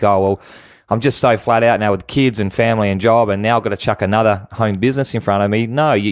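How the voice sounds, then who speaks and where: Low-pitched Australian man, persuasive business talker. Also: dry recording, studio quality. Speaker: guest, home business entrepreneur. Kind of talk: conversational radio interview, motivational business talk.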